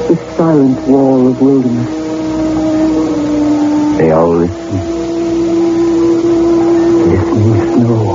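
Haunted young man, old radio horror. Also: mid-range voice. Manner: dramatic, brooding narration breaking into anguished cries. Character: frightened young man lost in wilderness, narrating his ordeal. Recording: muffled old radio recording, loud music behind.

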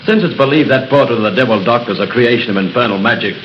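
An actor playing a polite, eager young fiancé who grows uneasy, in a 1930s radio drama. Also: male voice, mid-range voice, quick pace, slight background noise, natural voice. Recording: muffled old radio broadcast.

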